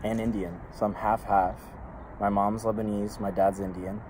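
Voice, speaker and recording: Young man, laid-back rambling chat, lots of "like", loud traffic noise behind. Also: lowish voice, normal speed. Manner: relaxed, rambling with many fillers. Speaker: guest. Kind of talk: casual chat. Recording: noisy street with passing traffic.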